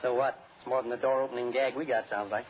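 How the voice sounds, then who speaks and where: Breezy, sarcastic American player male actor, vintage radio drama; rapid slangy patter, noisy thin recording. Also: medium pitch, steady tone, narrow, tinny audio, lightly acted. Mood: breezy, joking. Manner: sardonic, slangy.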